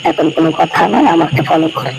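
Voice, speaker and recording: A man's voice: fairly high pitch, animated, quick pace, gravelly, noisy background. A man playing a sly, scheming fox in a cartoon, a glib and bossy big brother. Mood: bossy, dismissive. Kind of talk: cartoon dialogue.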